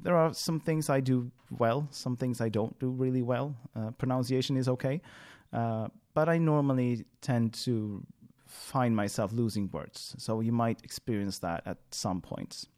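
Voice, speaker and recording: A man whose first language is Swedish, hosting a podcast in English and talking through his thoughts out loud. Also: medium pitch, a little slow. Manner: thinking aloud, frequent fillers and restarts. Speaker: podcast host. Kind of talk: solo podcast monologue.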